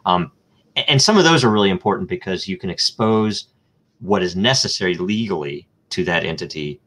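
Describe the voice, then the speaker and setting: A man's voice: low voice, expressive, brisk, fairly bright tone. A man answering questions as a guest in a live online interview, explaining step by step through examples and thinking aloud with frequent ums, uhs and restarts.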